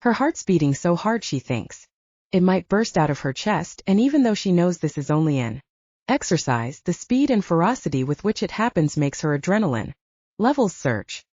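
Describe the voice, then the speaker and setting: A woman's voice: lowish voice, very animated, normal speed, darkish tone, no room sound, highs cut off. A woman narrating an audiobook, reading scripted fiction in a dramatic storytelling style.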